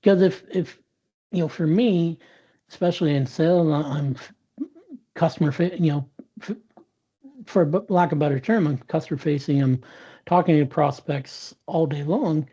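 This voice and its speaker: Dark, husky man telling a story. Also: fairly high pitch, normal speed, pauses often, muffled recording. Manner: frequent restarts and fillers, earnest. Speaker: interview guest, sales professional. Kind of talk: candid conversational storytelling. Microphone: video call.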